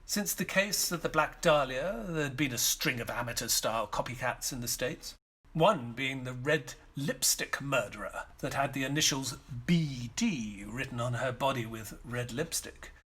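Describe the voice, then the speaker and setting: An actor playing a loyal, melancholy doctor, narrating an audio play in a measured, wistful manner. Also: male voice, mid-range voice, bright, forceful tone, breathy edge. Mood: wistful, sombre. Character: British doctor, loyal, melancholy friend narrating his memories.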